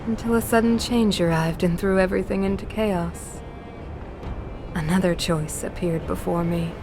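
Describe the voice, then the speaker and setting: A woman's voice: lowish voice, steady tone, close to an everyday voice. A woman voicing a regal, obsessed ruler and mother turned villain in a video game, grandiose and theatrical. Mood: solemn, brooding. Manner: slow, grandiose, theatrical, breathy pauses. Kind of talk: dramatic video game acting. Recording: loud music under the voice. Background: dramatic game music.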